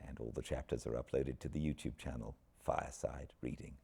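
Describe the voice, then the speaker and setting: A British man hosting an informal book recap with an expressive storyteller's delivery. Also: a bass voice, a little fast, vocal fry, breathy edge. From Britain. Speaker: host, audiobook narrator.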